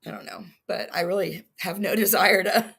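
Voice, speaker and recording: A woman's voice: on the low side, big pitch swings, normal speed, vocal fry, a bit bright, slightly rough. A woman in her fifties speaking on a livestream, defiant and sarcastic, breaking into laughs. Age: 50s. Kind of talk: casual livestream chat.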